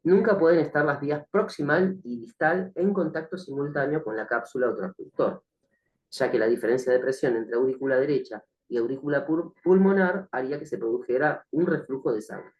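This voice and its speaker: A man's voice: medium pitch, fairly quick. Man lecturing with fillers, dull audio. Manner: hesitant, many fillers, checks in with listeners. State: has a cough from the flu. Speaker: nursing instructor. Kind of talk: recorded online lecture.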